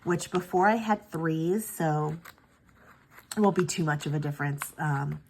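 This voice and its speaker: A woman with a low voice talks through her planner in a relaxed, rambling way, full of ums and quick self-corrections. Her nose is stuffy from the tail end of a cold. A faint hiss sits under the recording. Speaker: planner sticker channel host. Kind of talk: casual planning vlog chat.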